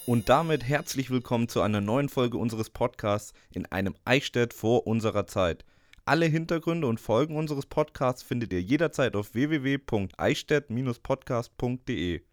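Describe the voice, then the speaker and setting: A young man from Bavaria, co-hosting a student podcast in a relaxed, easygoing chat. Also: mid-range voice, normal speed, no room sound, studio quality. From Bavaria, Germany. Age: young adult. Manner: relaxed, laughs easily. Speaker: podcast co-host, journalism student. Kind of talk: casual scripted podcast chat.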